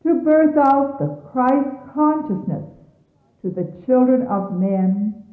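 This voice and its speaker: Female reader for solemn religious text: unhurried, formal and reverent, on a thin, band-limited vintage tape. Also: fairly high pitch. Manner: deliberate, reverent, formal phrasing. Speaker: religious leader reading scripture aloud. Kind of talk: solemn scripted reading of sermons. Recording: muffled, echoey 1970s phone-like tape.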